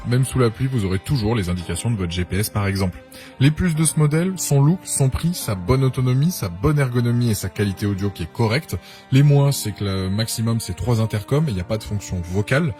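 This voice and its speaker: A man's voice: on the low side, a little mellow. A man presenting a scripted product review as narration. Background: background music.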